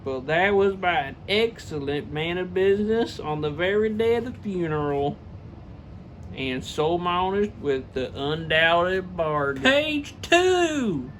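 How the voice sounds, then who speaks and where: A man's voice: high-pitched, sing-song, slow, fairly bright tone, a little hiss or hum. A man reading a classic story aloud theatrically and emphatically, stumbling over the text and dropping in casual asides. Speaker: reader, show host.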